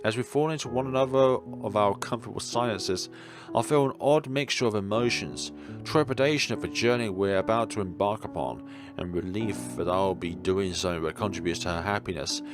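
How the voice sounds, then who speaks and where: A man reading a visual novel aloud as a let's player, in expressive first-person narration. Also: deep voice. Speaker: video game let's player. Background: guitar music.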